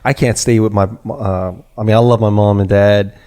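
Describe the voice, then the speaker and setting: A man's voice: on the low side, fairly even intonation, moderate pace, dark tone, smooth. Curious male podcast host. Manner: curious questions with restarts. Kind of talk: casual interview chat.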